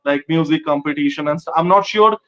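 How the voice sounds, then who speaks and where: A man with a noticeably high voice hosts the interview. He is chatty and talks at length, with frequent fillers. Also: a little fast, a bit bright. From West Bengal, India. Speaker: interviewer, host. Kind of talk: casual interview.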